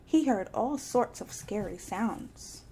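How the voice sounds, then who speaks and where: A woman narrating a children's audiobook in an expressive storytelling style. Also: medium pitch, slow, a little hiss or hum.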